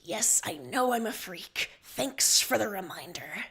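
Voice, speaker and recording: A woman's voice: medium pitch, animated, measured pace, bright, forceful tone. A woman voicing a defensive, self-deprecating story protagonist who snaps and hisses retorts. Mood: bitter hissing. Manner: snapping, hissing retorts. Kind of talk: dramatic fan fiction reading.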